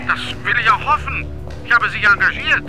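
A man's voice: high voice, expressive, fairly quick. A man playing an agitated, bewildered client in a radio crime drama. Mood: indignant. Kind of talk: German radio drama acting. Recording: echoey reverberant space, music behind.

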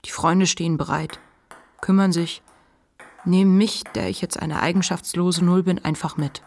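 An actress playing the inner voice of a depressed writer, weary and unflinching, in a measured literary monologue for a radio play. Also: female voice, on the low side, steady tone, darkish tone. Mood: cautious relief.

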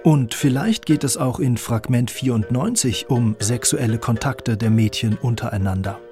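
A man's voice: mid-range voice, moderate pace, dark tone, soft background music. A man reading scripted narration for a radio documentary.

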